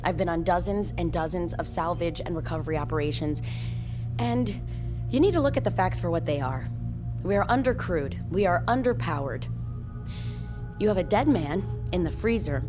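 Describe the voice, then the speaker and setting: A woman playing a blunt, defiant submersible pilot in a naturalistic audio drama, forceful and argumentative. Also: lowish voice, lively intonation, moderate pace, over music, close to an everyday voice. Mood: frustrated, insistent.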